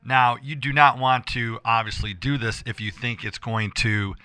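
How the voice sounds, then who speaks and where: A hoarse American man with a forceful, very bright voice hosts a radio show from a dry, close studio. He talks casually to his listeners, rambling and stressing points by saying them again. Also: medium pitch, normal speed, no room sound. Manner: rambling, emphatic, repeats himself.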